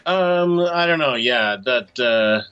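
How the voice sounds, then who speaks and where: A man answering casually as an interview guest, hesitant, low-key and matter-of-fact. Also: expressive, takes it slowly, sharp tone, clear, resonant tone, highs cut off. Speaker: interview guest, comics creator.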